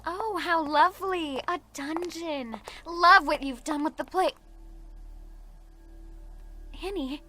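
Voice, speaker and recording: A defiant young woman held prisoner, acted by a woman in an audio drama. Her voice is very high and bright, emphatic and desperate, with slow, drawn-out phrasing. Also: a little hiss or hum, heavily acted. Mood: sarcastic. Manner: emphatic, pleading outbursts.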